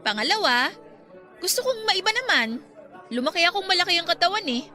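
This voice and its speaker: An actress playing a hopeful but easily hurt young woman in a Tagalog radio romance, expressive and pleading. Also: extremely high pitch, fairly quick, soft background music, heavily acted. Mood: earnest. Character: lovelorn young woman, newly slimmed, hopeful yet hurt.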